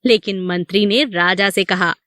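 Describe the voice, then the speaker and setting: A woman's voice: medium pitch, moderate pace, fairly bright tone, dry recording, clean studio sound. A woman narrating a scripted moral story for children.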